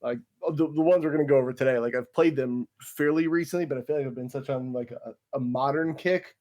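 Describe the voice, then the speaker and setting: A man co-hosting a casual podcast, talking in loose rambling runs full of filler words. His voice sits at an ordinary middle pitch. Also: fast, mellow. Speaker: podcast co-host. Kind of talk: casual chat.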